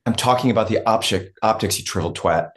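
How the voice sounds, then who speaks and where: A woman's voice: rumbling low, quick pace. A woman playing a snide, foul-mouthed political staffer, blunt and needling, in a comedy play reading. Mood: irritated. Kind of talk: comedy play table read.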